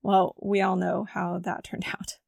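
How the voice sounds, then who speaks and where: A woman's voice: medium pitch, level tone, measured pace, vocal fry, darkish tone, dry recording, clean studio sound. A woman with a scratchy voice hosting a faith podcast, reading her own story in an earnest, reflective manner from a script. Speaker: podcast host. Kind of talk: scripted devotional podcast narration.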